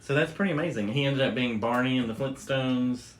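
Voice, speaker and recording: A man's voice: medium pitch, fairly quick, a little hiss or hum, low-quality recording. A man hosting a casual talk show, explaining things in a conversational, enthusiastic way. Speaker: podcast host. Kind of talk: casual chat about radio history.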